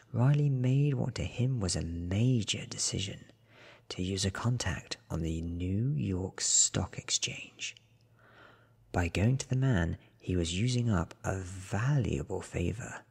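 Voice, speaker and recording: A man in his thirties reading a book aloud in a soft, half-whispered ASMR style, with hushed, deliberate phrasing close to the mic. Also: on the low side, expressive, gravelly, slightly rough. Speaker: audiobook reader.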